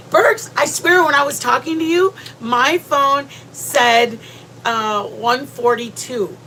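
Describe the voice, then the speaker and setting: A woman's voice: sits high, slow pace, bright, forceful tone, a little hiss or hum, poor audio. A woman hosting a livestream from home, sounding congested and rambling chattily with many restarts. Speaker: livestream host. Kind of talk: casual livestream chat. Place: at home, near the kitchen.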